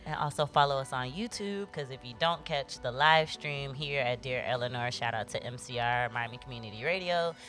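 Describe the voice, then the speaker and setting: A woman's voice: extremely low pitch, brisk, few pauses, fairly bright tone, faint music behind. A woman co-hosting a community radio show in an informal, playful, colloquial way. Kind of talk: casual community radio chat.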